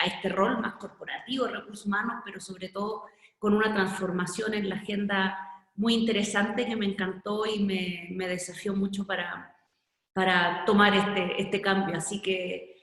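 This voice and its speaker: A woman's voice: mid-range voice, a bit bright. A woman from Chile in her forties, speaking as an HR director on an online panel, earnest and reflective, often restarting her phrases. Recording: echoey room with long reverb.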